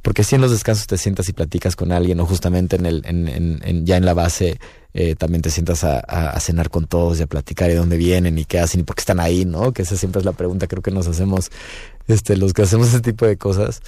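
Deep-voiced Mexican man, mellow and relaxed. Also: fast, no echo. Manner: relaxed, rambling, frequent fillers and "¿no?". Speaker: guest musician. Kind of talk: casual interview talk. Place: radio booth.

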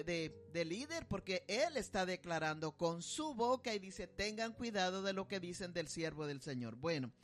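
A man's voice: high voice, fairly bright tone. A man preaching and commenting on a video, emphatic and dropping amen between phrases.

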